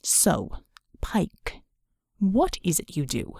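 A woman's voice: on the low side, wildly swooping pitch, drawn-out words, vocal fry, mellow, slightly rough, no echo, studio quality, heavily acted. A woman voicing a cool, condescending male mercenary in an audiobook, businesslike and disdainful.

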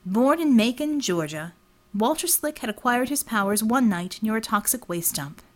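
A woman's voice: a little high, expressive, normal speed. A woman reading scripted fiction as an audiobook narrator.

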